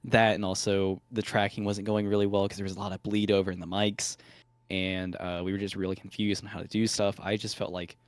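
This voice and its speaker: Young male musician, hesitant and filler-heavy, deep smooth voice, dry sound. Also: little pitch movement, brisk, no echo. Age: young adult. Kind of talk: casual interview over a call.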